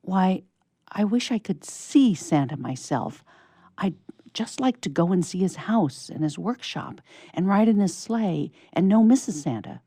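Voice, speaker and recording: Woman acting a little girl, eager, wistful, pitch lifted, storybook character. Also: expressive, a little slow, warm tone, slightly rough, no room sound, studio quality. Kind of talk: storybook reading.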